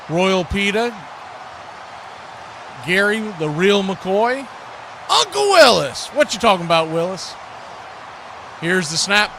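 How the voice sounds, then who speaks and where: A man's voice: high-pitched, noisy background. A man doing live football play-by-play, mixing clipped calls with folksy, chatty asides. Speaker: play-by-play announcer and stream host.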